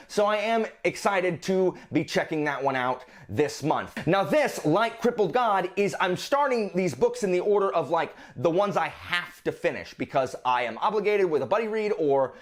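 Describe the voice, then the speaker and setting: Guy vlogger w/ a higher voice, super animated, chatty and informal, playfully exasperated. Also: normal speed, hardly any pauses. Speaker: book vlogger, channel host. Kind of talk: casual chatty monologue about a reading list.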